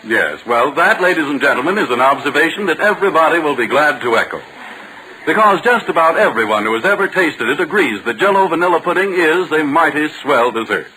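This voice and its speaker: A man's voice: on the high side, expressive, a little fast, bright, forceful tone, rough recording. A man working as a 1940s radio announcer, reading scripted copy with polished, theatrical salesmanship. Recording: hissy vintage 1940s radio recording.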